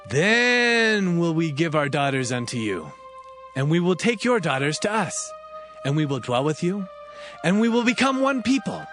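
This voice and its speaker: Stern brothers, deliberate delivery, lively pitch, music under. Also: male voice. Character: sons of Jacob, stern young brothers. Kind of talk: dramatized scripture reading. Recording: music bed behind voice.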